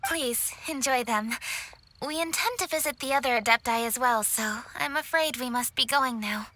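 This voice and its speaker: A woman's voice: fairly high pitch, normal speed, sharp tone, slight background noise. An actress voicing a formal, composed young woman official in a game cutscene.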